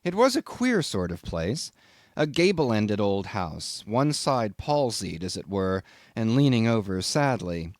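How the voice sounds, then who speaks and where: A man reading a literary audiobook as scripted narration, in an expressive, measured storytelling manner. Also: lowish voice, no echo, clean studio sound.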